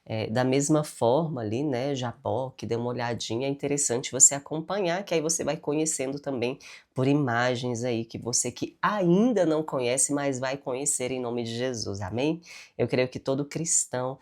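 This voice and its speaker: A woman's voice: extremely low pitch, normal speed, darkish tone. A Brazilian woman speaking with a nasal, stuffy voice from a cold, giving a chatty devotional talk with spoken prayer and tag questions. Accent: Brazilian Portuguese.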